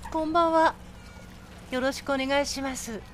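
An actress playing a gentle, hesitant woman who runs a food truck in an audio drama, speaking politely and tentatively. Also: high-pitched, steady tone, moderate pace, a little hiss or hum, close to an everyday voice. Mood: friendly, hopeful. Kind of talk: Japanese audio drama acting.

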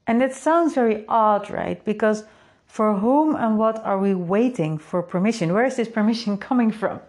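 Woman, Dutch accent, native Dutch speaker, reflective solo talk, rhetorical questions, medium pitch. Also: normal speed, darkish tone. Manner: thoughtful, asks rhetorical questions, adds "right?". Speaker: artist and community host.

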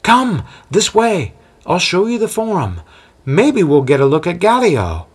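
A man's voice: high voice, wildly swooping pitch, measured pace, breathy edge, voice pushed up, full character voice. A man voicing a proud, pushy ancient city guide who boasts and insists. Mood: eager.

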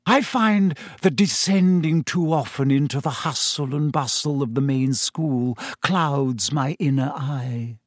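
A man voicing a dreamy, eerie woman seer, speaking slowly and softly in a misty voice. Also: mid-range voice, no echo, clean studio sound, lightly acted. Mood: mystical. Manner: slow, soft, drawn-out delivery.

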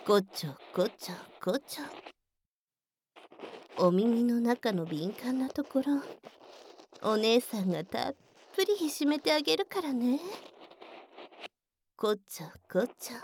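A high, slow and swooping voice of a devilish, seductive big sister. She teases coyly and takes full control, in an intimate ASMR roleplay style. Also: animated, a little mellow, slight background noise. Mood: whispered teasing. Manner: coy, slow teasing, drifting into whispers.

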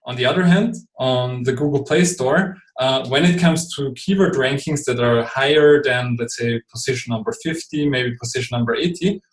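A man from Austria speaks English with an Austrian German accent, his native German clear in the vowels. He explains at an even middle pitch, hesitant, with frequent fillers and restarts. The recording carries a noticeably roomy echo. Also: normal speed, hardly any pauses. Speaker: guest expert. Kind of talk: podcast interview.

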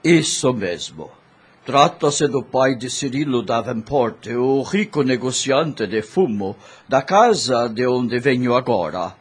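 An actor playing a calm, informative priest in a Brazilian radio soap, explaining in a measured way with a Brazilian accent. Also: male voice, mid-range voice, slightly rough, heavily acted. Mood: matter-of-fact.

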